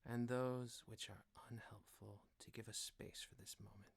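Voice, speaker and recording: A man performing an ASMR roleplay as a calm, genteel boss, speaking in a hushed, deliberate, soothing, often near-whispered way. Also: lowish voice, gravelly, slightly rough. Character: calm, genteel male boss leading a relaxation ritual. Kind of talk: ASMR roleplay, guided relaxation.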